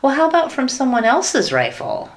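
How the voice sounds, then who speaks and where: A woman's voice: high voice, wildly swooping pitch, moderate pace, exaggerated voice. A woman playing a fretful, naive, innocently questioning housewife in a classic sitcom radio play. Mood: innocently curious.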